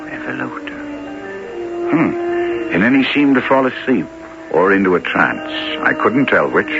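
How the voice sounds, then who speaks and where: An actor playing a thoughtful, curious literary gentleman in a 1970s radio drama, speaking deliberately as he questions and narrates. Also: on the low side, big pitch swings. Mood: pondering, intrigued. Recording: dull 1970s radio recording with music.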